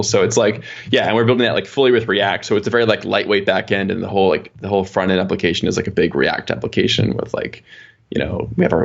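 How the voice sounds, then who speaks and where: A man's voice: deep voice, gravelly, muffled recording. A man chatting casually as an interview guest, explaining his work as an engineer in a rambling, informal way. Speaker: podcast guest, software engineer.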